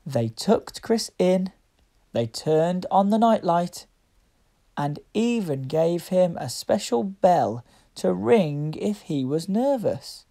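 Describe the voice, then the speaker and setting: A woman reading a picture book aloud to young children, with deliberate, expressive phrasing. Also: fairly low pitch. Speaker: children's story reader.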